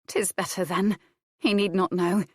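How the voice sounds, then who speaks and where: A despairing young noblewoman, freshly widowed, her voice pitched high and pleading as she weeps, as a woman reader puts her on. The sound is close and dry, read for a storybook. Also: moderate pace, dry recording, natural voice. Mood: grave. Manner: sobbing, tearful pleading. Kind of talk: audiobook character voice.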